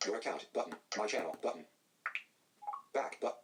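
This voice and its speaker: A male screen reader voice that reads screen items out in flat, synthetic announcements. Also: on the low side, normal speed, noisy background.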